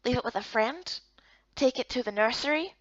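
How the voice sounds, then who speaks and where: A woman's voice: a little high, lively intonation, moderate pace, dry recording, dull audio. A woman voicing a patient, helpful male guild guide in a visual novel game, speaking politely and instructively. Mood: polite, questioning.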